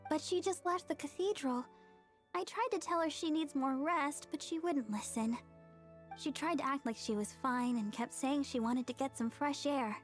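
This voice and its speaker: A woman playing a sweet, devout, caring young church woman with a gentle manner in an anime video game. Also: high-pitched, normal speed, soft background music, natural voice.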